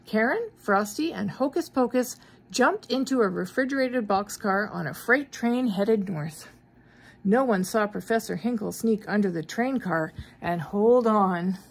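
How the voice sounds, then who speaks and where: A woman's voice: mid-range voice, animated, measured pace, gravelly, slightly rough. A middle-aged or older woman with a mild cold, reading a children's story aloud expressively, with questioning asides to young listeners. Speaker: grandmother reading to grandchildren.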